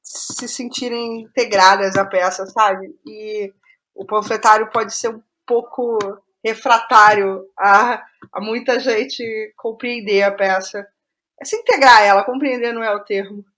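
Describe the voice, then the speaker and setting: A Brazilian woman speaking as a guest playwright in a casual interview, digressive and halting, often restarting her words. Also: medium pitch, fairly even intonation, fairly bright tone, low-quality recording.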